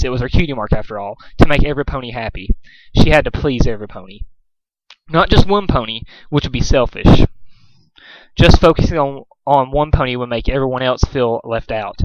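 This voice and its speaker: A man's voice: medium pitch, no echo, muffled recording. A man narrating a story aloud as an amateur reader, sometimes stumbling over words and rereading. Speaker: amateur fan fiction reader.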